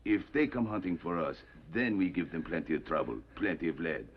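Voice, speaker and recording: An actor playing an aging Mexican bandit leader in an old TV western, calm and deliberate, courteous with quiet menace. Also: male voice, sits low. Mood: firm. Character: measured, authoritative aging Mexican outlaw gang chief. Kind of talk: 1950s television western acting. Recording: dull, noisy old TV western soundtrack.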